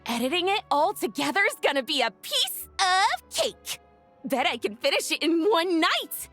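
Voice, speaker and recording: A woman's voice: extremely high pitch, sing-song, normal speed, a bit bright, faint music behind, exaggerated voice. A woman playing a spirited, self-assured young female film director in a video game, speaking with breezy theatrical confidence. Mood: pleased. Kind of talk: animated game voice acting.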